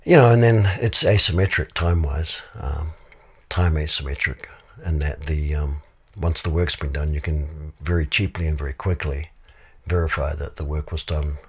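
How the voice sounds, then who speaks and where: A man with a cold and a very deep, husky voice, talking in a rambling way with many fillers in a casual discussion. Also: fairly even intonation, moderate pace, darkish tone. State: has a cold. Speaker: podcast guest. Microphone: studio microphone.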